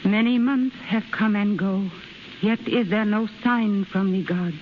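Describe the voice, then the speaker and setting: An actress in a 1930s radio drama playing a loyal, doubting maid, grave and blunt in broken English. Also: female voice, mid-range voice, measured pace, lightly acted. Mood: sorrowful. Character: loyal Japanese maidservant, sombre, doubting, blunt. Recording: muffled hissy 1930s radio broadcast.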